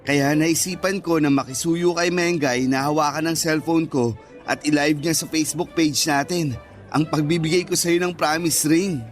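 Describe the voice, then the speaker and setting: An actor playing a sweet, devoted young boyfriend in a Tagalog radio romance, earnest and affectionate. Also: male voice, a little high, steady tone, moderate pace, lightly acted. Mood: proud, happy. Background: faint music.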